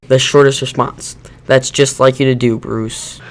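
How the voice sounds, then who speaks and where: Friendly young hero guy, acted by a man, decisive, audio drama, medium-pitched. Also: flat delivery, unhurried, natural voice. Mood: easygoing. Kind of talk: amateur audio drama acting.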